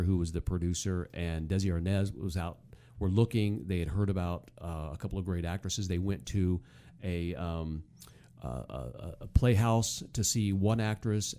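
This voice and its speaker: A man's voice: deep voice, warm tone. A man co-hosting a podcast, giving casual conversational commentary in a hesitant, explanatory storytelling way. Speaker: podcast co-host.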